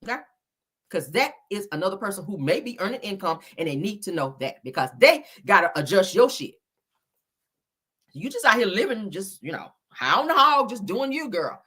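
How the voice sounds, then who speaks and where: A woman giving animated online reaction commentary in a blunt, scolding, emphatic manner, swearing freely and repeating phrases for effect. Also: medium pitch, moderate pace, slightly rough. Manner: blunt, scolding, heavy profanity. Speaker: YouTuber commentating on celebrity and viral topics.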